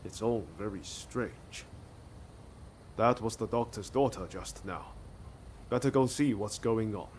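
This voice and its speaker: A man's voice: fairly low pitch, unhurried, long pauses, a little mellow, slight background noise, lightly acted. A man playing a breezy, cheeky rebel swordsman in a video game cutscene, casual and jaunty. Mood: curious, casual.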